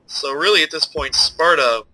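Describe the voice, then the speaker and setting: A man's voice: a little high, normal speed, bright, forceful tone, dry recording, low-quality recording. A young man with a stuffed-up, nasal voice, casually commenting while he plays a strategy game and thinks aloud. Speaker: let's play host.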